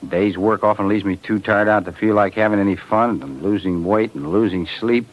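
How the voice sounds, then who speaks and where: Man playing a low-voiced everyday working fellow. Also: moderate pace, close to an everyday voice. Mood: worried, complaining. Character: ordinary jittery working man in an ad. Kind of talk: 1940s radio commercial acting. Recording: dull, lo-fi 1940s radio recording.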